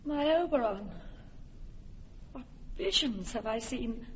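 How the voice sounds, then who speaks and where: A woman's voice: fairly high pitch, expressive, slow, long reverb tail. Titania, a dreamy fairy queen. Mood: bewildered. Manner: lyrical, lingering verse. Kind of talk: classic radio drama acting. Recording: muffled old radio recording with hiss.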